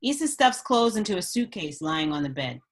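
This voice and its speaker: A woman's voice: lowish voice, big pitch swings, normal speed. A woman reading stage directions as scripted narration at a table read.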